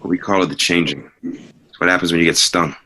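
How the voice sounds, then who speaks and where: A man voices a grave young leader in a drama script read. He sounds very low and sharp-edged, with a commanding manner. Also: steady tone, a little fast. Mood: somber, quiet. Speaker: actor at a table read.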